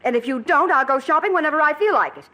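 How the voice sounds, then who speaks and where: A defiant woman in a 1940s radio thriller. Her voice is high and swings widely as she pushes back with petulance. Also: expressive, fast talker, bright, forceful tone. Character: restless, defiant scheming woman Vivian. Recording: old radio broadcast recording.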